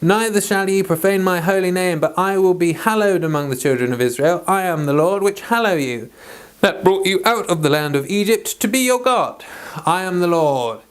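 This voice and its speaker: A British man with a fairly high-pitched voice reads and teaches scripture. His delivery is informal and rambling, and he tends to interrupt himself as he explains. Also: normal speed, clean tone. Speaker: Bible reader and teacher. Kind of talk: read-aloud scripture and informal commentary.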